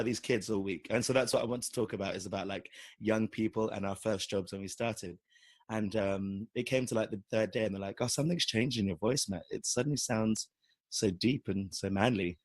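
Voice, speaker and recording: British guy w a tired husky voice, talks real fast, teasing his cohost. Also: lowish voice, no room sound. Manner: teasing, playful. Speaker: podcast co-host. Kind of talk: casual chat.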